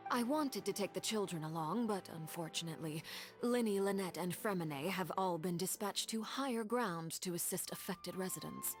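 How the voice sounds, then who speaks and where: Actress doing a calm calculating boss lady from a game, lowish voice, formal and measured, some music under it. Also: lightly acted. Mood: composed, sincere. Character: composed, calculating woman leader, coolly courteous. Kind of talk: video game voice acting.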